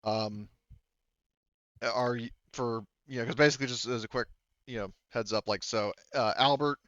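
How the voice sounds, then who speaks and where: A man talking casually as game master to his players in a halting, hedging way. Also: mid-range voice, steady tone, fairly bright tone, dry recording, muffled recording. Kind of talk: casual game-running talk among players. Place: online voice session.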